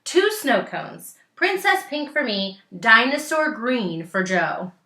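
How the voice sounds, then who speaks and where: A woman voicing an eager, restless little brother from a storybook, with an excitable, pleading delivery. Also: fairly high pitch, slow, echoey room, pitched above the performer's own voice, exaggerated voice. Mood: excited.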